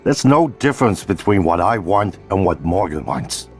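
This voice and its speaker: An actor playing an indignant, controlling brother in a video game, with a scornful, emphatic, accusatory delivery. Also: male voice, low voice, sing-song, normal speed, darkish tone, faint music behind. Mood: outraged. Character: indignant, controlling elder brother confronting a machine. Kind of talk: scripted video game acting.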